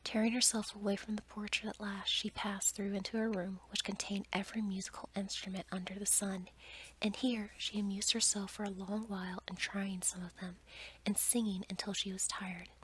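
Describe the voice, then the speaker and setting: A woman storyteller reading a fairy tale as ASMR in a soft, breathy whisper. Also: medium pitch, level tone, moderate pace, a little hiss or hum, poor audio.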